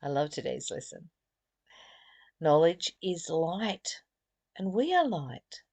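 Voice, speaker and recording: A woman giving a reflective spiritual lesson, reading and explaining in deliberate, emphatic phrases with pauses and repetition. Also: deep voice, very animated, dark tone, dry recording. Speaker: spiritual study teacher.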